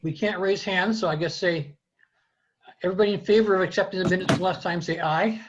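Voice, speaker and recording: An American man chairing an online committee meeting in an informal, halting way, with muffled sound. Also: high voice. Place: remote video meeting.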